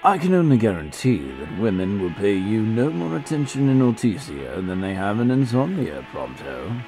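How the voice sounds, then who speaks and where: A man's voice: fairly low pitch, sing-song, moderate pace, over music. A man playing a composed young royal advisor in a fan audio drama, formal, precise and drily wry.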